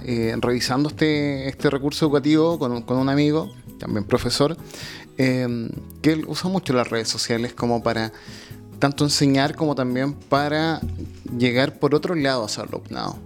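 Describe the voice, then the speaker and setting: A man's voice: mid-range voice, normal speed, soft background music. A man with a Chilean accent hosting an informal radio interview.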